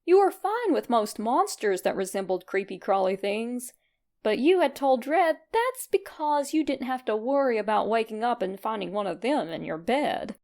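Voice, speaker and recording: A woman reading fan fiction aloud as narrator, in an expressive scripted storytelling style. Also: a little high, moderate pace.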